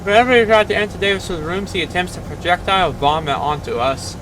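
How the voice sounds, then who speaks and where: A man's voice: high-pitched, fast, background noise. A man giving casual reaction commentary, sometimes reading text aloud, as the host of a horror video reaction.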